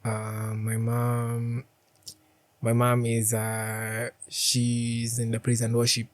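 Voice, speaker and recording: A man with a Kenyan accent talks as a guest on a podcast. His pitch moves very little, and the studio sound is dry and close. He chats casually, slipping between languages. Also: monotone, slow, no echo. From Nairobi, Kenya. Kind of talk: casual chat, mixing in Swahili.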